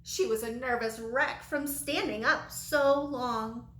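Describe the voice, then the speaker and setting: A woman's voice: fairly high pitch, takes it slowly, fairly bright tone. A woman reading a children's story aloud, as a teacher would to young listeners. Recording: echoey room with light background noise.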